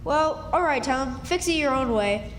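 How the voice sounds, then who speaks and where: Woman playing high-voiced scheming country boy. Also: animated, measured pace, soft background music. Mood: eager, scheming. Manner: folksy, eager. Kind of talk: stage radio drama acting. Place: live stage in a hall. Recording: reverberant hall recording.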